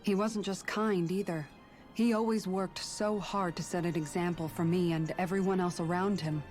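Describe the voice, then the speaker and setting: A woman voicing an earnest, idealistic young guardswoman in a video game, speaking sincerely and gently. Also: fairly low pitch, steady tone, moderate pace, lightly acted. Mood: fond, earnest. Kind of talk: scripted video game dialogue. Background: game music.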